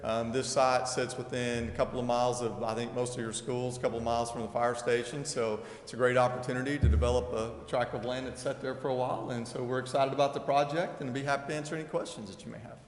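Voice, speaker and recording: A man gives an informal, explanatory presentation with frequent hesitations. His mid-pitched voice rings around an echoey chamber. There is faint noise behind him. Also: flat delivery, fast, hardly any pauses. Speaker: applicant's representative presenting. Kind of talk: public hearing presentation.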